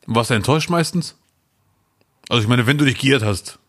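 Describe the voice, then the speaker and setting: A man's voice: medium pitch, brisk, fairly bright tone. A man co-hosting a podcast in casual chat, joking and self-mocking, often laughing between remarks. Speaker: podcast co-host.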